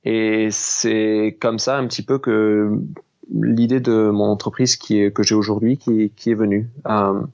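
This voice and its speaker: A man in his thirties from southwest France, a pastry chef telling his story in a relaxed, unhurried way in an interview. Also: on the low side, muffled recording. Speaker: pastry chef and business founder. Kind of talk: casual interview conversation.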